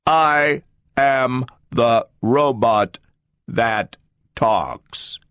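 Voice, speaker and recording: A man doing a booming, toneless talking machine. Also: a bit bright, smooth, dry recording, clean studio sound. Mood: flat, mechanical. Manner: booming, slow, without intonation. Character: big immobile talking machine, flat and literal.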